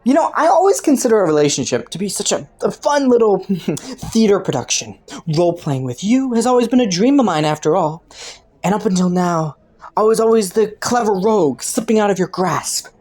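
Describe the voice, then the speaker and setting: A woman's voice: mid-range voice, very animated, fairly quick, a little mellow. A woman voicing a clever, flirty underworld rogue in an audio roleplay, teasing and taunting a detective with sardonic, sultry playfulness. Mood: mocking, playful. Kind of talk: roleplay audio drama, intimate monologue to the listener.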